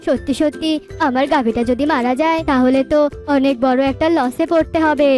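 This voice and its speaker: A woman's voice: high voice, steady tone, brisk, a little mellow, soft background music, lightly acted. A woman voicing an anxious, money-minded bird in a children's cartoon, fretful and often thinking aloud. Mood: worried.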